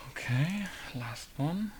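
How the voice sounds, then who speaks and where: A man mumbling casual tutorial commentary at his computer with low-key humor. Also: background noise. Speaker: game modder.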